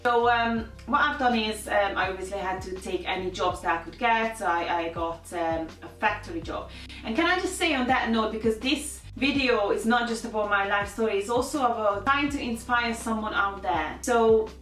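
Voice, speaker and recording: A woman's voice: mid-range voice, normal speed, a bit bright. A woman in her thirties from Hungary with a Hungarian accent, candidly telling her life story as a vlogger with many fillers and restarts. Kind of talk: personal life story to camera. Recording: roomy sound, mic at a distance, faint music.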